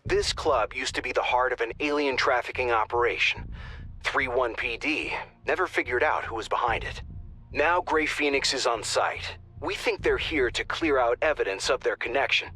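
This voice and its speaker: An actor playing a wry male operations dispatcher who briefs the squad in a dry, quick manner. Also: mid-range voice, bright, forceful tone, husky. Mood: briefing. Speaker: mission dispatcher. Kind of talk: video game voice acting.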